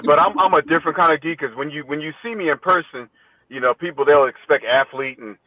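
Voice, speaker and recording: A man's voice: fairly high pitch, fast, no room sound. A man in his fifties, a teacher appearing as a podcast guest, talking conversationally and with good humor, often repeating his phrases. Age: 50s. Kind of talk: casual interview chat. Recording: thin phone-like connection.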